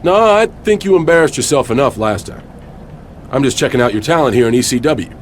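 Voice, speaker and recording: A man's voice: medium pitch, animated, fairly quick, close to an everyday voice. A man playing a swaggering, slangy, teasing star wrestler in a video game cutscene, with faint noise behind. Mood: breezy, teasing.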